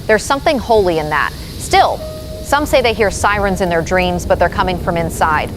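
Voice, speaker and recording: A woman's voice: lowish voice, animated, moderate pace, natural voice, poor audio. A woman playing an earnest, grave field reporter giving a scripted live disaster report in a radio drama. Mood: sombre, earnest. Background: music.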